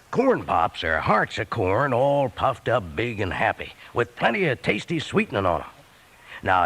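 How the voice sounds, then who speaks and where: An actor playing a folksy, chummy cowpoke announcer giving an old-time radio commercial pitch. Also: male voice, creaky, a bit bright, exaggerated voice. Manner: folksy, chummy, drawling slang.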